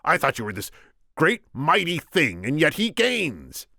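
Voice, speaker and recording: A man's voice: mid-range voice, wildly swooping pitch, a little slow, vocal fry, bright, forceful tone, dry recording, clean studio sound, voice pushed up, exaggerated voice. A man voicing a scheming, domineering hunchbacked villain from a storybook, scornful and commanding. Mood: scornful, angry.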